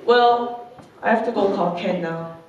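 Performer as a conceited fashion doll woman, gushing, boastful, slow delivery, comic stage monologue, distant echoey recording. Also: medium pitch, muffled recording, lightly acted. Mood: self-pitying, vain. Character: vain, overconfident fashion doll woman, bubbly and self-admiring. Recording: echoey room, distant mic, faint noise.

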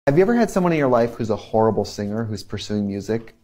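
A man giving an exasperated, animated comic rant in a conversational way. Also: lowish voice, fast, a little hiss or hum.

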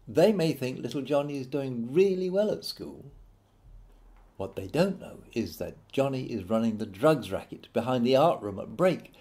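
A British man narrates a satirical audiobook in a mellow, unhurried voice. His pitch swings widely as he leans on key words and leaves deliberate pauses. The delivery is wry and theatrical. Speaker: author reading own book.

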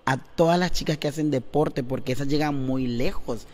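A man in his thirties interviewing a guest in a chatty, playful, excitable way, holding a handheld mic in a living room. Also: medium pitch, normal speed, a little hiss or hum. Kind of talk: casual interview. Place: indoor living room on a couch. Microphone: handheld wired mic.

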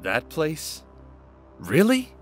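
A man's voice: on the high side, wildly swooping pitch, very slow, faint music behind, exaggerated voice. A man playing a bored, taunting demon in a fantasy audio drama, casual and teasing. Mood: impatient. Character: bored, taunting male demon, sly and foul-mouthed. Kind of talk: fantasy audio roleplay acting.

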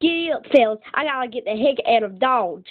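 A woman's voice: a little high, lively intonation, a little fast. A woman voicing a proud, plain-spoken young cowgirl pony in a cartoon fandub, with a folksy, earnest manner. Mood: determined. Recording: tinny screen playback filmed by camera.

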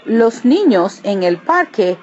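A woman reading a children's picture book aloud, switching between hushed and emphatic delivery. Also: a little high, very animated, slow pace. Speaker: read-aloud narrator. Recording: dull lo-fi recording, clear background noise.

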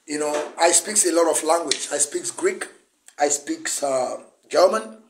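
A middle-aged man speaks in a notably high register with a Nigerian Igbo accent, Igbo being his first language. He hosts an impassioned political broadcast, scolding and driving points home by repeating them. He sits indoors at a table, close to the camera. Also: unhurried, background noise. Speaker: broadcast host, political activist. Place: indoor room, seated at a table.

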